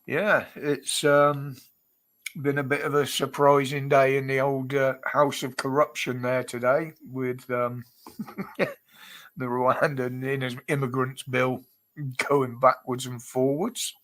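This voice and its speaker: A man's voice: medium pitch, little pitch movement, takes it slowly, fairly bright tone. A British man hosting a casual livestream chat, his nose blocked up, chatty and greeting viewers by name with frequent chuckles. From United Kingdom. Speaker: livestream host.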